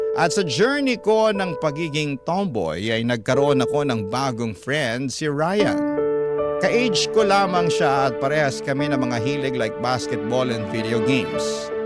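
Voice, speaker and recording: A man reading a young tomboy's letter as a measured, sentimental first-person radio story. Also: mid-range voice, close to an everyday voice. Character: lovelorn tomboy's letter, read by male storyteller. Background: loud instrumental music.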